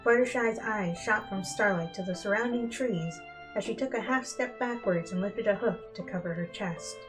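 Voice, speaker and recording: Female storybook narrator, mid-pitched, dramatic reading style, soft instrumental music underneath. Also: normal speed, poor audio. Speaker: fan fiction reader. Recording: music bed behind the voice.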